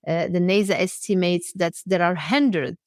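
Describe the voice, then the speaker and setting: A woman's voice: lowish voice, moderate pace, no echo, studio quality. A woman from Morocco hosting a podcast, interviewing a guest and affirming her answers with encouragement. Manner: warm, encouraging, frequent affirmations. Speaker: podcast host.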